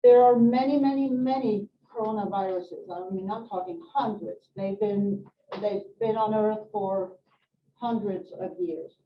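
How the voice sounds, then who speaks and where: A woman's voice: mid-range voice, unhurried. Middle-aged woman, echoey room. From Sweden and France. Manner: chatty, informal, many fillers and asides. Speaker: nurse educator. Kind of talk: live presentation to an audience. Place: hospital meeting room. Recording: echoey room, distant mic, dull sound, faint noise.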